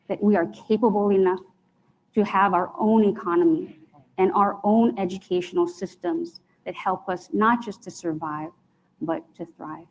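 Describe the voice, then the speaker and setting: A woman's voice: mid-range voice, a little mellow, poor audio. A woman giving an earnest campaign introduction as a mayoral candidate, building her points in rhetorical lists.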